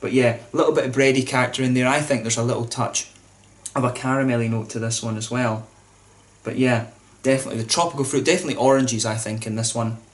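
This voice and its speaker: A man talks quickly and casually to camera while reviewing a beer at home. His voice sits at a middle pitch, and he fills the talk with fillers and restarts as he goes. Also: steady tone. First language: English. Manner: chatty, informal, many fillers and restarts.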